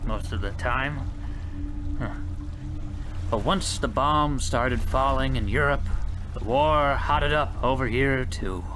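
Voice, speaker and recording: An actor playing a stern, weary navy captain in wartime, grave and brooding, snapping out orders. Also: male voice, medium pitch, noisy background, natural voice. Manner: grave, brooding, barks commands. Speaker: ship captain. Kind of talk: amateur radio drama. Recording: music underneath.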